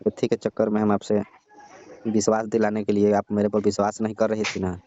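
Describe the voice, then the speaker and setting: A man's voice: fairly low pitch, completely flat delivery, fairly quick, dark tone, natural voice. A man acting a cocky young married son-in-law in a staged comic phone call, asking blunt, needling questions. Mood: probing. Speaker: comedy call actor. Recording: dull lo-fi phone call recording.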